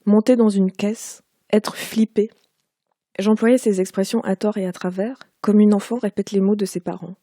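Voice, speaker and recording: A woman in her forties from Quebec, speaking with a Parisian French accent, reading aloud from her own book in a measured, unhurried way. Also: medium pitch, level tone, warm tone, dry recording, clean studio sound. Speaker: author.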